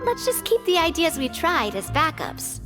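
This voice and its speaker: A woman's voice: extremely high pitch, normal speed, music in the background. A woman playing a confident, cheerful and gracious young lady boss in a video game, speaking in an encouraging way. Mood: cheerful, reassuring. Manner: bright, encouraging, animated.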